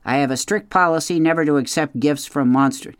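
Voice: mid-range voice, a little fast, dry recording, studio quality, pitched above the performer's own voice, natural voice. A reader voicing a stubborn, grumbling male beaver and father who mutters and hums while he thinks. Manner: gruff, muttering, hesitant hmms.

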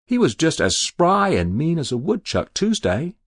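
A man's voice: a little high, huge pitch swings, moderate pace, no echo, studio quality, voice pushed up, full character voice. A man voicing a stubborn, uneasy New Hampshire farmer in a told story, folksy and plain-spoken. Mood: shaken, defiant. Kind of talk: dramatic literary storytelling.

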